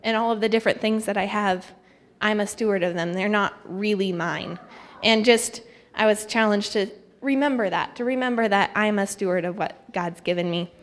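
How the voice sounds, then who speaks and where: A young woman speaks to a congregation as a visiting missionary speaker. Her delivery is informal and conversational, with a modest, self-deprecating streak. The voice sits at an average pitch and rings through a large, echoing hall. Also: moderate pace. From Thailand. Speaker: guest speaker, missionary candidate. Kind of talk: informal church testimony and devotional. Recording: echoey hall with long reverb.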